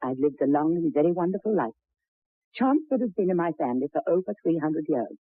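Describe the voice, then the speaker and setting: A woman's voice: low voice, animated, brisk, dry recording. An actress playing an imperious, wealthy old lady in a radio horror drama, speaking in a commanding, genteel, theatrical way. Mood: solemn. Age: older. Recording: dry, tinny old radio broadcast.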